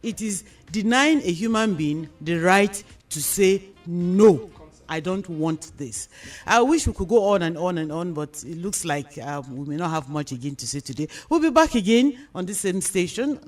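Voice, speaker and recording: Nigerian woman hosting a radio discussion. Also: fairly low pitch. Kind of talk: moderating and addressing listeners in a radio panel discussion.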